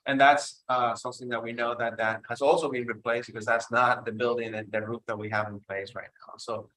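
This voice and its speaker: A man's voice: medium pitch, flat delivery, brisk, sharp tone, rough recording. A man from Cuba with a Cuban Spanish accent presenting an architectural preservation report over a video call at a public meeting. He is hesitant and often restarts his words.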